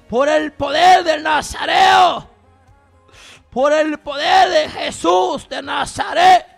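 Man praying fervently, shouting, pleading, slow drawn-out delivery, faint music behind. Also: extremely high pitch, creaky. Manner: shouting, pleading, repetitive commands. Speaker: preacher leading prayer. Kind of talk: fervent intercessory prayer with tongues.

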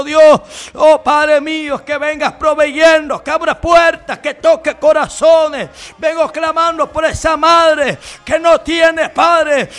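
A man's voice: very high voice, fairly bright tone. A man leading fervent Pentecostal intercessory prayer, pleading and exclaiming in repeated phrases. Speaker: prayer leader.

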